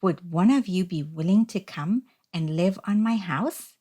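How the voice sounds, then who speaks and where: A woman's voice: fairly low pitch, measured pace. A woman voicing a timid, polite hermit crab in a children's storybook, earnest and courteous. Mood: admiring, polite. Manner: gentle, earnest, courteous questioning.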